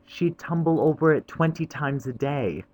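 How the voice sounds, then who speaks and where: A man's voice: a little high, lively intonation, normal speed, darkish tone, voice pushed up. A man voicing an older boy in a storybook who speaks in firm, commanding tones. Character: boy, eldest brother, firm and bossy.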